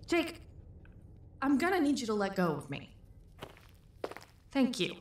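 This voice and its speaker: A woman's voice: high-pitched, sing-song, a little slow, roomy sound, slight background noise. An actress playing a determined, upset young woman searching for her dog in an audio drama. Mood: firm, upset.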